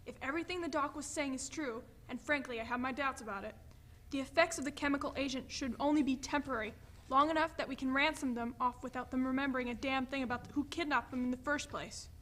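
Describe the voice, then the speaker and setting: A woman's voice: sits high, steady tone, fast, a bit bright. A woman playing a brash, impatient, foul-mouthed kidnapper leaving a phone message in a staged play reading. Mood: impatient, irritated.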